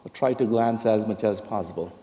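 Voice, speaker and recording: Male minister, middle-aged, Indian accent. Soft-toned, conversational and halting, echoing church hall. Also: on the low side, dull audio, a little hiss or hum. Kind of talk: sermon, conversational address to congregation. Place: large church sanctuary at a pulpit.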